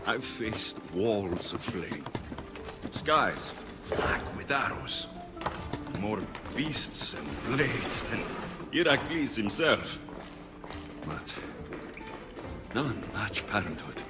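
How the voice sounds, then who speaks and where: A man's voice: lowish voice, sing-song, drawn-out words, pauses often, over loud music, exaggerated voice. An actor playing a battle-hardened Greek mercenary who is a doting, playful young father in a video game drama. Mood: weary, reflective. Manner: playful, sing-song when talking to his baby.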